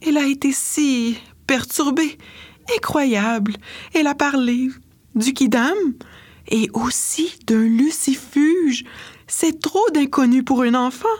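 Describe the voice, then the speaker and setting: A woman voicing a gentle fairy woman who speaks softly and with care. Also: sits high, takes it slowly, pitched above the performer's own voice. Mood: worried. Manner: soft, caring.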